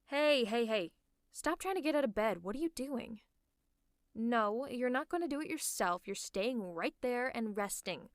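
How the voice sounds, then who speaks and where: A woman playing a sardonic female supervillain in an ASMR roleplay, teasing and scolding the listener in a gruffly caring way. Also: a little high, a little slow, dry recording, clean studio sound, close to an everyday voice. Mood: bossy, exasperated. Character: sardonic female supervillain, gruffly fussing over a sick hero. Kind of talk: ASMR roleplay, one-sided dialogue to the listener. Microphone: close mic, dry.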